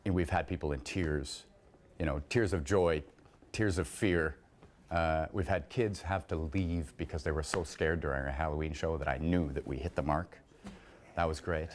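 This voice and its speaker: A man's voice: very deep voice, steady tone, moderate pace. A man, a radio theatre performer, talking casually in an interview. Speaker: radio theatre performer and sound effects maker.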